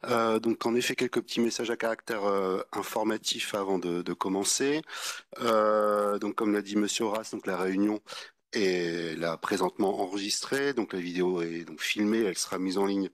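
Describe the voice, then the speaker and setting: A man's voice: fairly low pitch, fairly even intonation, moderate pace, dry recording, studio quality. A man giving practical announcements as meeting moderator, in hesitant, stop-start phrasing. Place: indoor public meeting. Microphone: meeting microphone.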